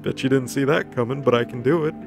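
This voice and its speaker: A man's voice: medium pitch, fast, gravelly, natural voice. A man voicing an obsessive, lovesick illusionist who thinks himself a hero, melodramatic and self-justifying, in a comic recap. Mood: obsessive, boastful. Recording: music under the voice.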